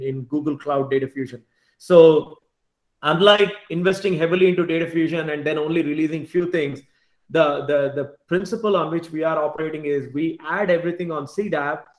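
A man giving an informal slide presentation on a video call, often restarting his words and adding filler asides. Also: on the high side. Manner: repeats and restarts words, frequent "you know" asides. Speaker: tech team lead presenting at an online meetup. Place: bright room at home or small office, indoors. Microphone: computer mic on a video call. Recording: echoey room on video call.

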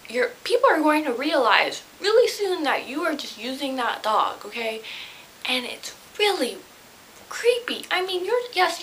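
A young woman telling a personal story casually to camera in an animated, slightly flustered way, recorded in a bedroom on a camera a short distance away. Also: high-pitched. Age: young adult. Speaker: vlogger. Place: small bedroom, indoors. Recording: hissy home camera recording.

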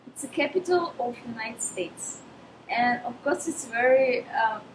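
High-voiced young Russian-accented woman. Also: a little slow. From Tatarstan, Russia. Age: 20s. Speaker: summer work participant, lifeguard. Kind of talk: on-camera testimonial. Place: outdoors by swimming pool. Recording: echoey outdoor pool, clear background noise.

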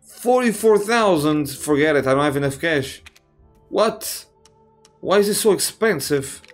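A man, slightly raspy from just waking up, giving casual let's-play commentary on a horror game, swearing often and thinking out loud. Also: a little high, animated, a little slow, faint music behind. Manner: swears often, thinks aloud, reacts with surprise. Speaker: gamer playing a horror game.

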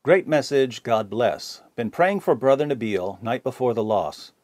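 A man's voice: medium pitch, lively intonation, a little slow, a little mellow, dry recording. Man reading comments in a dry voice. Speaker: reader of viewer comments.

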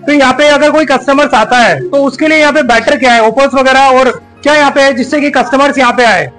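Male food vlogger, high forceful voice, enthusiastic and repetitive walk-through commentary, music underneath. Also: fairly even intonation, fast, low-quality recording. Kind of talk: casual on-location restaurant tour commentary. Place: inside a busy restaurant and its kitchen. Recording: music under location speech.

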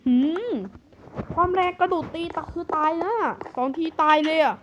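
A woman with a high, light voice narrates a game cartoon and hops from one character's lines to the next. Her delivery is playful and lively throughout. Clear background noise runs under the recording. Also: moderate pace, highs cut off.

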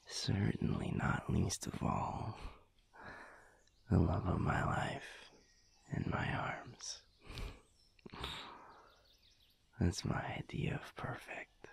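A man voicing a sweet, affectionate young werewolf lover in an intimate roleplay, speaking hesitantly and tenderly in a gravelly, breathy half-whisper. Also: low-pitched, exaggerated voice. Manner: slow, hesitant, tender, chuckling between phrases. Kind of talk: intimate boyfriend audio roleplay.

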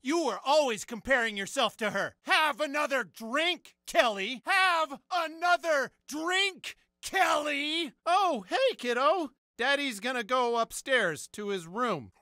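An actor playing a middle-aged, high-strung American suburban dad in an animated comedy game, foul-mouthed and falsely cheery. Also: male voice, takes it slowly, hardly any pauses, no echo, clean studio sound, heavily acted. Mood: angry. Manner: swings between sugary pet names and shrill cursing.

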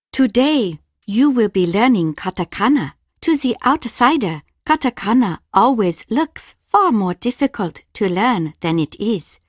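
A woman playing a polite, solemn Japanese innkeeper who teaches traditional arts in a video game, speaking formally and with measured care. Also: on the high side, dry recording, clean studio sound. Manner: formal, measured, gently instructive. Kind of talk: scripted video game dialogue.

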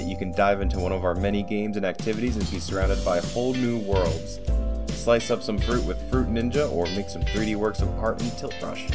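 A man with a fairly low voice delivers scripted promotional narration. He presents a list of activities to visitors, with guitar music playing clearly behind him. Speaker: technology center presenter.

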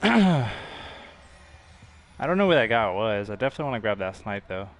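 A young man streaming a game from a room, giving excited, slangy commentary and thanking viewers for donations while his voice goes hoarse. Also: on the low side, normal speed, slight background noise. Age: young adult. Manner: hype-driven, slangy, thanking donors between plays. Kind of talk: live gameplay commentary with chat shout-outs. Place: indoor gaming room.

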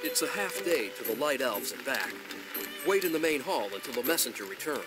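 An actor voicing a stern, haughty elf lord in a video game, formal, authoritative and disdainful. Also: male voice, medium pitch, big pitch swings, fairly quick, natural voice, rough recording. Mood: grave. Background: loud music.

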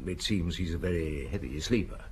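Actor as a terse, low-voiced police inspector. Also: male voice, brisk, a little mellow, natural voice. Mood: probing, polite. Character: male chief police inspector, calm, terse, probing. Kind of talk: old radio drama acting. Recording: noisy old radio broadcast.

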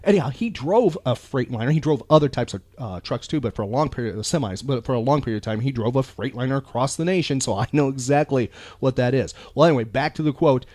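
A man's voice: medium pitch, quick pace, hardly any pauses, a little mellow, no echo. An American man hosting a podcast, chatting in a casual, folksy, rambling and emphatic way. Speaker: podcast host.

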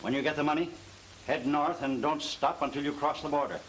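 A man's voice: a little high, steady tone, a little fast, a bit bright, lightly acted, poor audio. Curt outlaw boss played by a man, faint hiss. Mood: commanding. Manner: terse, businesslike orders. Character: curt, commanding western outlaw boss, suspicious. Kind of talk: old western film acting. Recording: hissy old film soundtrack.

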